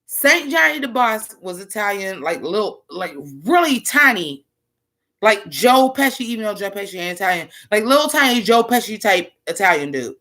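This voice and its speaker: Woman, deep bassy voice, rapper, casual reaction chat, talks to viewers. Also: sing-song, normal speed, bright, forceful tone. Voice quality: deep voice with a lot of bass. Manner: loose, chatty, addresses viewers as y'all. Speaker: rapper reacting to her own old album.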